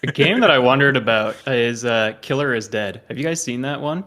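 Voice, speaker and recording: Male guest, bright, tense tone, wisecracking casual chat. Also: medium pitch, lively intonation, a little fast. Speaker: podcast guest.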